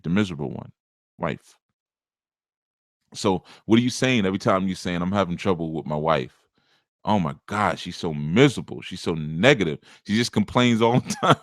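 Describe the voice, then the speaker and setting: A man's voice: on the low side, dry recording, clean studio sound. A man giving a casual spiritual teaching on a live show, talking in a conversational, rambling way with many asides.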